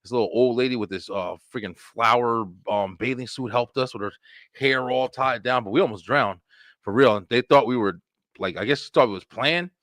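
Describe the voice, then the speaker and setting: A man in his thirties from New Jersey, hosting a casual webshow chat and telling a story loudly, cursing and laughing as he goes. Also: medium pitch, brisk, no echo. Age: 30s. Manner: animated storyteller, profane, laughs while telling. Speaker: webshow host.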